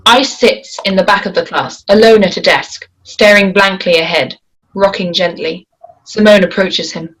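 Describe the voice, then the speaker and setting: A woman reading the stage directions at a script table read in a plain, measured way. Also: mid-range voice, a bit bright, poor audio.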